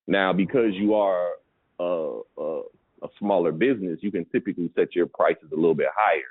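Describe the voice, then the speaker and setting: A man's voice: sits low, moderate pace, breathy edge, no room sound. A man coaching in a casual, conversational way, often checking that the listener follows, as in a business advice call. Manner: conversational, repeats check-in questions for understanding. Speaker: pallet business coach advising a caller. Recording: narrow phone-like call audio.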